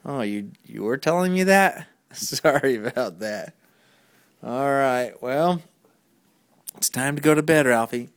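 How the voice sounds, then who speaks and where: A father narrating a playful family skit, jumping into high, exaggerated questions as he plays along. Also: a little slow, no room sound, studio quality. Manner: jumps into high, exaggerated questions when voicing his lines. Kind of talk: playful home-video narration.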